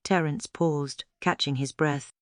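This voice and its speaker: A woman's voice: low-pitched, fairly even intonation, takes it slowly, no room sound, clean studio sound. A woman reading a scripted children's story aloud as its storyteller.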